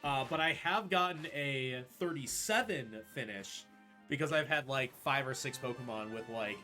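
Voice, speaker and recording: A man with a bright, forward voice explains his play as he goes, in a casual, conversational way. He sounds like a speedrunner talking on a live stream, with music playing behind him. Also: medium pitch, moderate pace. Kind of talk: casual live gaming commentary. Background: video game music.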